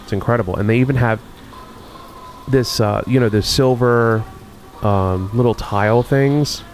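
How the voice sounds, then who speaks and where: A man casually narrating a walkthrough of a rundown shopping mall, musing and unhurried with many pauses and fillers. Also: medium pitch, faint music behind. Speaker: video host touring a closed-down mall.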